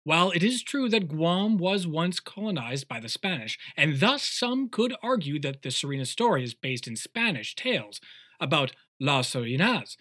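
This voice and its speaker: A man's voice: a little high, big pitch swings, normal speed, bright, forceful tone. A man reading a scripted introduction as a podcast host.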